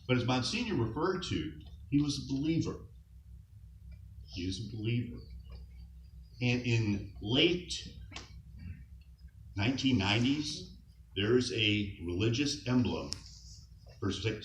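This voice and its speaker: A man's voice: on the low side, recorded from a distance. Middle-aged man giving an echoey eulogy. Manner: conversational, warm anecdotes with light chuckles. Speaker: scout leader speaking at a memorial. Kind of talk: informal eulogy. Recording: echoey hall, speaker off-mic, faint noise.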